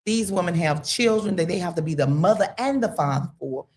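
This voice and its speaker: Woman, deep voice, emphatic, preachy, casual panel talk. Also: animated, a little fast, darkish tone. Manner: emphatic, preachy, talks straight to women. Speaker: podcast guest.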